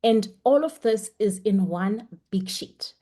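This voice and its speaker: A woman's voice: medium pitch, takes it slowly, mellow. Chatty young woman teaching an online class. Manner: chatty, encouraging, frequent ums. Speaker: webinar instructor. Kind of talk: online lecture. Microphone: computer mic on a video call.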